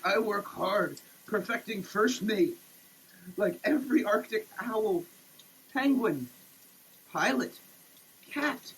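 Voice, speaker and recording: A man giving a dramatic, preachy reading with emphatic pauses, like a sermon. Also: very high-pitched, slight background noise, rough recording. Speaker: sketch performer. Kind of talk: dramatic reading of a soap label, declaimed like a sermon. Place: shower, per the page.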